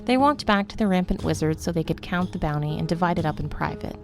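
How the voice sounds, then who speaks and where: A woman narrating a scripted fantasy story in a steady storytelling manner. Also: on the low side, fast talker, over music.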